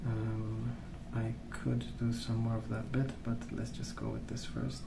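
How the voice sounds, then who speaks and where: A man speaking softly in a hushed, unhurried ASMR ramble, musing as he goes. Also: medium pitch, very animated, creaky, reverberant, very noisy. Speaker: ASMR video host. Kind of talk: soft-spoken ASMR rambling while colouring.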